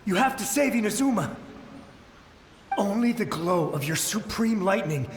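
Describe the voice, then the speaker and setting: A man's voice: high voice, expressive, moderate pace, background noise. An actor playing a desperate, loyal samurai haunted by battle in a video game, pleading and urgent, with a ghostly reverb on his voice. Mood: pleading, hopeful. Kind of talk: video game character dialogue. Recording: echoey long reverb, music behind. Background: game music.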